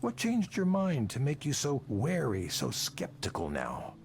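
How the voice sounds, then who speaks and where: An actor playing a persuasive, defensive tech project leader in a visual novel, speaking emphatically and theatrically in self-justification. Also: male voice, medium pitch, wildly swooping pitch, measured pace, darkish tone, a little hiss or hum. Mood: earnest, probing.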